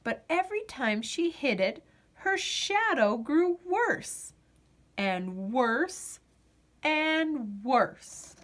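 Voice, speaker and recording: Woman, higher-pitched voice, very slow pace, lively intonation, dramatic storybook narration, deliberate pauses. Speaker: storyteller reading a picture book aloud. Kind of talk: expressive children's storybook narration.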